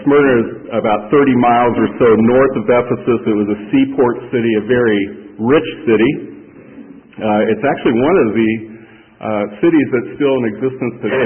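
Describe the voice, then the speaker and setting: A middle-aged man from Kansas preaching a conference sermon in a folksy, plain-spoken way, slowing down to read scripture aloud. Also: mid-range voice. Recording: tinny, far-off hall recording.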